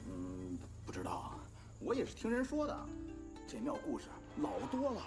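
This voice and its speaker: An actor voicing a chatty, gossipy innkeeper in an animated series. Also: mid-range voice, moderate pace, music in the background. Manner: chatty, salesy. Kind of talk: Chinese animated series dub.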